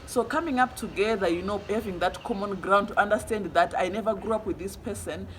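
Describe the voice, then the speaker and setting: Zimbabwean woman, animated storytelling. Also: medium pitch, a little fast, a little hiss or hum. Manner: animated storyteller, acts out quoted voices. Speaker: vlog co-host. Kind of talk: casual couple chat.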